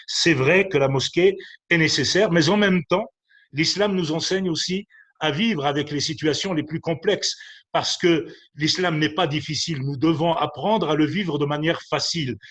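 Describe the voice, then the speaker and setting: A man speaking on a panel about religion, measured and hesitant, with frequent fillers. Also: on the high side, a bit bright.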